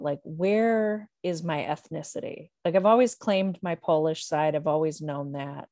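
A woman talking reflectively about personal matters in a thoughtful, measured way, pausing to find the right words. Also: deep voice, darkish tone, dry recording, clean studio sound.

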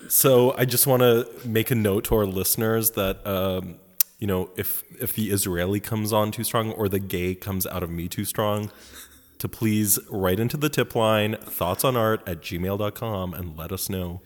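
A man's voice: on the low side, fairly even intonation, moderate pace, in a large hall. A man from Poland, with Polish as first language, co-hosting a podcast in campy, joking casual chat from his home. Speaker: podcast co-host. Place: house in Poland.

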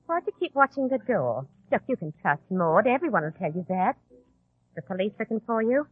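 A woman's voice: mid-range voice, sing-song, fairly quick, breathy edge. An actress playing a chummy, probing tavern girl with shady schemes in a 1940s radio drama. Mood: chummy, coaxing. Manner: familiar, coaxing, probing. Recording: tinny, narrow old radio recording.

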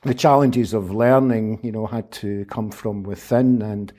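Man, fifties, low mellow voice, measured and reflective, interview guest. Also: fairly even intonation. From Scotland. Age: 50s. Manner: measured, thoughtful, qualifies his words. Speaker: guest, humanitarian aid worker.

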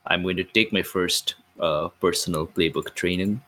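A man playing a tabletop game, setting out his ideas in casual, deliberate talk with pauses. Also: low-pitched, steady tone, bright, forceful tone.

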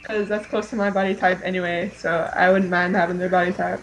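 A woman's voice: fairly low pitch, flat delivery, brisk, soft background music, rough recording. A woman co-hosting a fan podcast in an informal, giggly, casual chat style.

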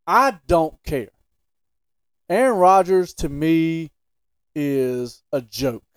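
A man with a slightly high voice co-hosts a casual sports podcast. He is opinionated and emphatic, putting his views bluntly in back-and-forth debate. The sound is dry, with no room echo. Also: expressive, extremely slow, smooth.

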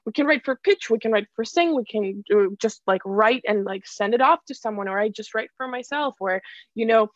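A woman's voice: a little high, fairly quick, no echo. A young woman from Ukraine, a songwriter, chatting casually in an interview with lots of fillers and restarts. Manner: chatty, many likes and restarts, laughs often. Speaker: songwriter guest. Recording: dull dry lo-fi sound.

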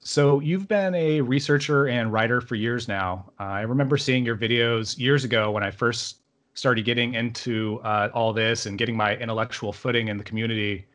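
A man hosting a podcast, reading a measured, welcoming introduction and then asking his guest a question. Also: mid-range voice, fairly bright tone. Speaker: podcast host.

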